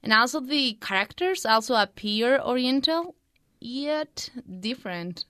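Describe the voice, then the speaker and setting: A young native Spanish-speaking woman with a smooth voice, presenting a book review in a dry college radio studio. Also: high voice, expressive, a little slow, bright, forceful tone, no room sound. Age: 20s.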